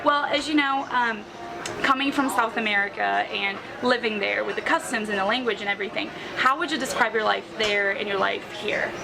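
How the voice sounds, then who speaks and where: A woman hosting an interview, upbeat and lively, with quick little affirmations. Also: mid-range voice, gravelly, crowd noise, poor audio. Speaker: interview host. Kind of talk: hosted interview.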